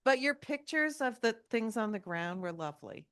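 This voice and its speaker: A woman commenting informally from the audience at a meeting in a warm, encouraging way. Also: fairly high pitch, lively intonation, moderate pace, clean tone. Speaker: audience member commenting and asking questions.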